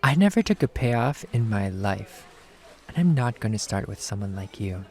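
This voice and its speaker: A man's voice: lowish voice, animated, normal speed. A man playing a short-tempered, sarcastic bounty hunter and ex-cop, blunt and dismissive, in an acted film script read.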